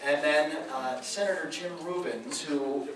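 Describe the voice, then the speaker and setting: Man, meeting emcee, folksy storyteller, a bit high, very roomy distant sound. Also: a little slow, fairly bright tone, slight background noise. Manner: storytelling, folksy, chuckling asides. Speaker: meeting emcee and radio morning show host. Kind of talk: anecdotal emcee talk at a public meeting. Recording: echoey room from a distance.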